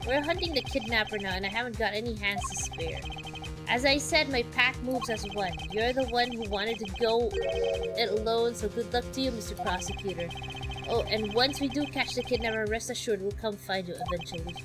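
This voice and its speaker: A smug male Interpol agent from a video game, voiced by a woman. He is cocky and faintly menacing in his drawl, over clear background music. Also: on the high side, moderate pace, close to an everyday voice. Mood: smug, taunting.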